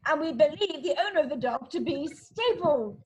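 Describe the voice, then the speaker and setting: A woman playing an eager, naive male sidekick in a comic amateur radio play, earnest and excitable. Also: sits high, normal speed, a little hiss or hum. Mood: excited.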